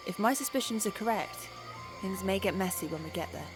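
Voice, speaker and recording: A woman's voice: medium pitch, expressive, brisk, music in the background, close to an everyday voice. Woman as businesslike half-elf scout. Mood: serious. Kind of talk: fantasy audio drama acting.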